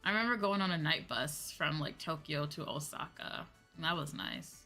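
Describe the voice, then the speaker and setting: A woman's voice: sits low, moderate pace, pushed and bright. An American woman chatting casually on a livestream in an informal, slangy, rambling way. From United States. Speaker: VTuber streamer, host. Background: background music.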